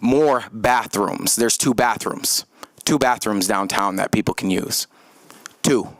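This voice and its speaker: An American man with a fairly low voice addresses a meeting as a local activist. He is impassioned and emphatic, hammering phrases by repeating them. The sound is close and dry. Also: slow, no echo. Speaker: activist and local organizer at public comment. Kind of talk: impassioned public comment speech. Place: city commission meeting room.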